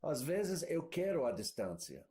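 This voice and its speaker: A man's voice: a little high, big pitch swings, measured pace, darkish tone. A man interpreting a sermon live in a church, searching for words with restarts and fillers.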